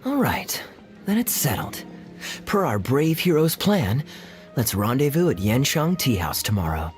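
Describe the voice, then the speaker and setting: An actor playing a suave, teasing, calculating male captain in a video game, with a wry, smooth-talking manner. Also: medium pitch, very animated, a little slow. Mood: sly, persuasive. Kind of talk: video game character dialogue. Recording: music under the voice.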